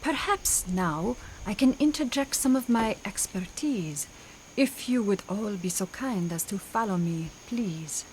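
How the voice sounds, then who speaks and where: A woman's voice: medium pitch, animated, measured pace, a little hiss or hum, close to an everyday voice, poor audio. A woman playing a formal, commanding and mysterious spirit medium in old-time radio drama style. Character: solemn theatrical female spirit medium.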